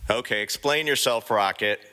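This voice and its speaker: A man's voice: lowish voice, moderate pace, bright, forceful tone, a little hiss or hum. A man playing an exasperated leader of space misfits in a fan fiction reading, scolding as he goes. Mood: stern, scolding.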